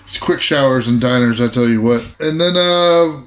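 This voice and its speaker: A man's voice: mid-range voice, normal speed, voice pushed down, lightly acted. A man playing a scruffy, courteous investigator, narrating his actions in a tabletop game. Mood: casual, observant. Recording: echoey room.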